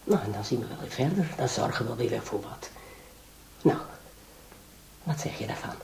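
Creaky-voiced scheming bourgeois wife. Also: female voice, a bass voice, lively intonation, normal speed, mellow, slight background noise, exaggerated voice. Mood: coaxing. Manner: coaxing, insistent, feigned concern. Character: scheming ambitious bourgeois wife, coaxing and calculating. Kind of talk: Dutch radio drama acting.

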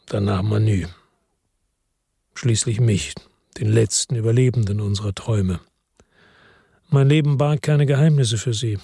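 Weary cop narrator, husky, dark tone, close dry studio, radio drama. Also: male voice, mid-range voice, no echo. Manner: slow, weary, measured narration. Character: Fabio, world-weary male police chief. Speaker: first-person narrator.

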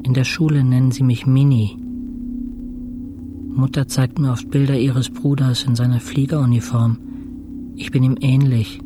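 An actor playing a bedridden, introspective intensive-care patient in a radio drama monologue, speaking in measured, fragmentary short phrases. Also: male voice, mid-range voice, natural voice.

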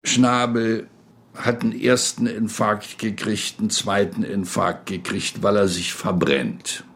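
A man's voice: on the low side, fairly even intonation, measured pace, fairly bright tone. A man commenting in a radio feature, telling a life story dramatically in the present tense. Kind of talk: spoken commentary telling a biography. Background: music.

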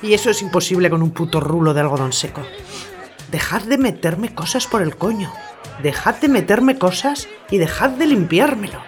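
A woman's voice: on the low side, expressive, normal speed, faint music behind, natural voice. A woman acting an angry, foul-mouthed monologue in a comic audio play, blunt and indignant. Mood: fed up, indignant.

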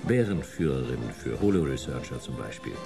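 A man playing a world-weary, middle-aged private detective in a noir radio play, speaking in a dry, clipped, deadpan way. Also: a bass voice, normal speed, gravelly, over music, exaggerated voice. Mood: laconic. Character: laconic, world-weary male private detective narrating his case.

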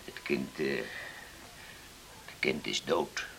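A husky, gravelly seaman in a radio play, blunt and stubborn yet good-hearted. His voice has a forceful edge, and he talks in plain, gruff phrases. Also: a bass voice, takes it slowly, full character voice, low-quality recording. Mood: weary, grave. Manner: gruff, hesitant, plain-spoken. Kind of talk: radio drama dialogue.

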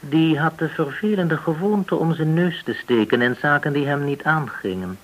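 An actor in a Dutch radio play playing a menacing gangster interrogator, controlled and commanding, full of veiled threats. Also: male voice, a little high, normal speed, close to an everyday voice, rough recording. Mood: cold threat.

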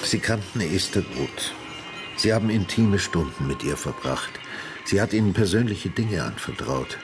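An actor playing an older retired homicide detective in a German radio drama, questioning in a measured, matter-of-fact, insistent way. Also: male voice, deep voice, animated, music in the background. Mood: probing, calm. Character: retired male homicide detective, calm, persistent, probing.

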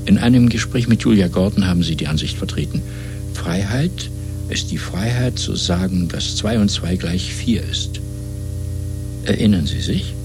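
An actor playing a cold, patient, fanatical party interrogator in a 1970s German radio play, lecturing in a measured way between soft murmurs and emphatic questions. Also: male voice, low-pitched, animated, music in the background.

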